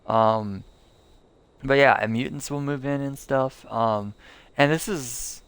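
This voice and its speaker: Young guy streaming, rambling w lots of ums, gravelly but smooth voice. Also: mid-range voice, slow, dry recording. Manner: rambling, many fillers and restarts. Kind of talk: casual live gameplay commentary.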